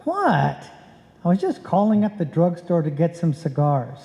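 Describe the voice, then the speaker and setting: An actor playing a wry, deadpan husband who narrates his own domestic comedy in old-time radio style. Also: a little high, unhurried, gravelly, full character voice. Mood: dry, put-upon. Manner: dry, wisecracking. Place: on stage in a live performance. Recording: live hall recording, long reverb.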